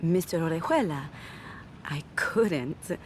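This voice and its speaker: A woman's voice: low voice, lively intonation, slow pace. A woman playing a pouting gangster's girlfriend in a video game, with noisy ambience behind her. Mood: sulky, demure. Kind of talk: video game cutscene acting.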